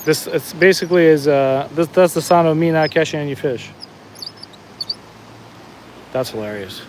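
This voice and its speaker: A man's voice: on the high side, normal speed, slight background noise. A man with a New Jersey accent chatting casually with live viewers while he fishes outdoors, answering comments with frequent restarts. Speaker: fishing livestream host. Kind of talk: casual live-stream chat while fishing. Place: outdoors at a fishing spot, walking the bank.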